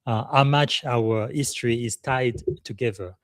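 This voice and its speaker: A man hosts a live podcast, talking earnestly and emphatically with frequent pauses to search for words. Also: medium pitch, dry recording, studio quality. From Republic of the Congo. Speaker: podcast host and leadership coach.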